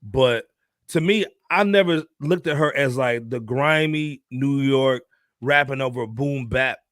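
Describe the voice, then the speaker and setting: A man co-hosting a music podcast, talking in a relaxed, slangy way. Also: medium pitch, measured pace, no room sound, studio quality. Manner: relaxed, slangy, drops "man" and "you know". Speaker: podcast co-host. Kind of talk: casual opinion chat.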